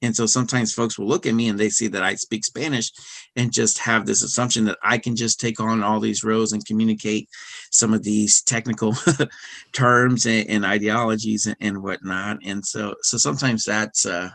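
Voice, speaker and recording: A middle-aged man speaking as a podcast guest, talking casually with many fillers, restarts and soft laughs. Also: on the low side, flat delivery, moderate pace, gravelly, dry recording. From United States. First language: English. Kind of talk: casual interview conversation.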